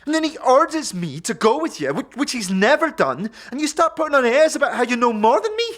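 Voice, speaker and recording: A woman playing a prickly young tiefling thief, swooping pitch. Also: very animated. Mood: indignant, sarcastic. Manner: sharp-tongued, sarcastic, defensive, rapid questioning. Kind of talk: audio drama game-dialogue acting.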